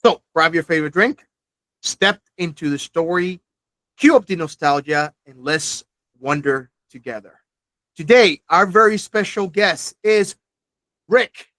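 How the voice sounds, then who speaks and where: A man in his forties hosts an alumni interview podcast, his voice pitched somewhat high for a man. He sounds close and dry, with no room around him. His questions are friendly and loose, full of small restarts. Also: animated, measured pace, pushed and bright, no echo, rough recording. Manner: friendly, scripted intro then chatty questions with restarts. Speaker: podcast host.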